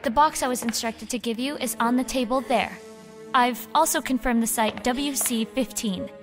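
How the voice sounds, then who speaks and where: A woman's voice: on the high side, normal speed, faint music behind, lightly acted. An actress playing a businesslike but wary female contact in an audio drama.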